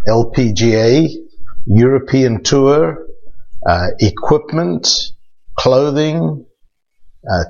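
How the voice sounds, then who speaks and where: Older South African man, measured podcast talk. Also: mid-range voice, highs cut off. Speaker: golf instructor and podcast host. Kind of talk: scripted podcast monologue.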